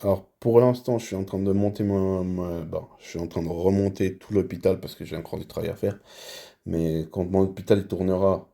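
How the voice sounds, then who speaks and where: A man from the Dominican Republic interviewing an applicant as head of a hospital in a roleplay, speaking slowly and measured. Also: low-pitched, fairly even intonation, gravelly, dark tone. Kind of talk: in-character job interview.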